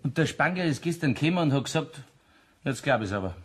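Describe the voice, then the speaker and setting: A man playing a sly, chummy locksmith with a husky voice and a Bavarian accent in a German audio play. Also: fairly high pitch, lively intonation, fast talker. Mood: feigned reluctance. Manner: hesitant, chuckling, folksy.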